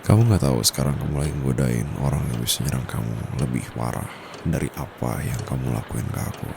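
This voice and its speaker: A young man voicing a teasing private tutor who turns out to be dominant, in a soft, slow ASMR roleplay delivery close to the mic. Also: extremely low pitch, vocal fry. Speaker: voice actor. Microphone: close condenser mic. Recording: steady background noise with traffic.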